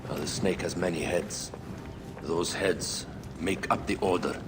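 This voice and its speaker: An actor playing a grave older royal adviser in a game cutscene, speaking in a measured, lecturing way. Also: male voice, sits low, background noise. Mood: solemn. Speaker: queen's adviser. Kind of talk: video game cutscene acting. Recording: reverberant game audio with music.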